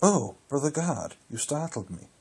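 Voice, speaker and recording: Husky, mellow, genteel bishop. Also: male voice, on the high side, big pitch swings, measured pace, exaggerated voice. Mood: startled, affable. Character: polite, patronising bishop with a furtive streak. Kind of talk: audio drama acting.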